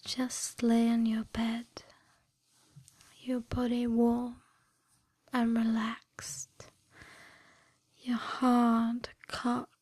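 A woman in a scripted hypnosis ASMR style, mostly whispering breathily in a hushed, deliberate, soothing yet commanding manner. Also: on the high side, completely flat delivery, no room sound. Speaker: erotic hypnosis audio performer.

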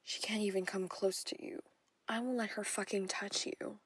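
A woman's voice: mid-range voice, steady tone, normal speed, dry recording, close to an everyday voice. A woman whispering as a possessive stepmother. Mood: furious. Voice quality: breathy whisper. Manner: intimate whispering, coaxing and controlling. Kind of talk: whispered audio roleplay.

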